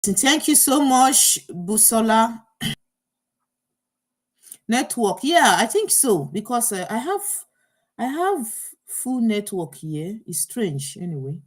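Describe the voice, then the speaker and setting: A woman's voice: on the high side, big pitch swings, slow, long pauses. A woman hosting a live online program through an earpiece mic. She talks her way through the technical trouble, with lots of fillers and restarts. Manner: flustered, troubleshooting aloud, many fillers and restarts. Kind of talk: live online talk show.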